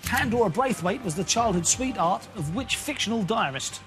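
A man with a notably high voice hosts a quiz show from a TV studio, brisk and playfully teasing as he runs the game. Game show music plays behind him. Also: lively intonation. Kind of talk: upbeat scripted game show presenting. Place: television studio.

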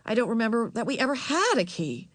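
A woman's voice: fairly high pitch, sing-song, fast talker, no echo, voice pushed up. A woman voicing a stern, exasperated mother in a storybook, firm with her children. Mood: explaining. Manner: scolding, firm.

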